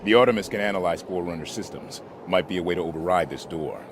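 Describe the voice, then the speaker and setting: An actor playing a calm, commanding soldier who leads a squad in a sci-fi game, speaking in terse, clipped orders. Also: male voice, low-pitched, fast talker, noisy background, natural voice. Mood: calm, focused. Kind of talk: video game military dialogue.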